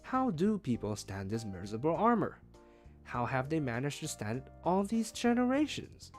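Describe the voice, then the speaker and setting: A man reading a novel aloud as a streamed read-along, with expressive, emphatic phrasing. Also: fairly high pitch, moderate pace, soft background music. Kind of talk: read-along audiobook narration.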